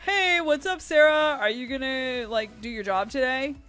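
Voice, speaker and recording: A woman's voice: high voice, normal speed, fairly bright tone, faint music behind. A woman playing a chatty, bubbly young donut shop worker in a gaming roleplay.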